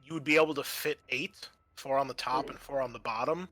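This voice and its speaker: A man improvising narration as game master of a tabletop game, halting and conversational. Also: mid-range voice, fairly even intonation, a crowd in the background. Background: background music.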